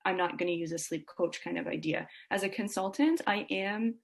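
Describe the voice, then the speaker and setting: Woman with a creaky voice. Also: medium pitch, normal speed. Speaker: sleep consultant, podcast guest. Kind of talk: casual explanatory interview.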